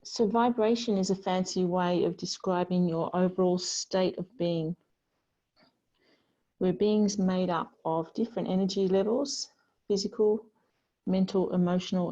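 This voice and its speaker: A woman teaching a yoga class, giving gentle, unhurried guidance with pauses between phrases. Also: fairly low pitch. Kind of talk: guided yoga class instruction. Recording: slightly dull, lo-fi sound.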